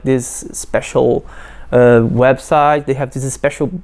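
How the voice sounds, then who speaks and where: A young man from Brazil with a Brazilian Portuguese accent, a PhD student giving a halting testimonial full of fillers and restarts. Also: on the high side, a little mellow. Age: young adult. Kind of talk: testimonial interview.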